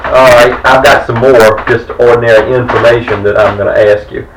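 A man's voice: medium pitch, steady tone, a little fast, noisy background. Hesitant male interviewer, roomy and distant. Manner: hesitant, many fillers and restarts as he asks. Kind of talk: field interview questions. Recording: roomy, from far off the mic.

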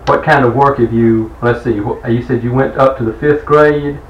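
A man's voice: mid-range voice, fairly even intonation, normal speed, muffled recording, slight background noise. Guy asking interview questions, lots of uhs, sounds far away in a roomy space. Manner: hesitant, many fillers and restarts as he asks.

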